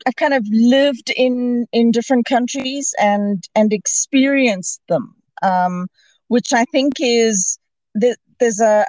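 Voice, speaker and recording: A woman's voice: on the high side, no room sound, poor audio. A woman from Zimbabwe, a podcast guest calling in, talking in a hesitant way with many restarts and fillers. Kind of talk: casual interview conversation. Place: calling in remotely.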